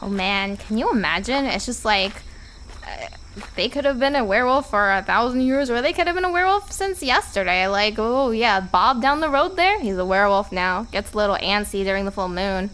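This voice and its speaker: A woman's voice: medium pitch, animated, a little fast, a bit bright, close to an everyday voice. A woman playing a nervous, wry visitor in an audio drama, rambling and hesitant. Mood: amused disbelief. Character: nervous, wry human woman on a park tour. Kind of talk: fiction podcast audio drama acting.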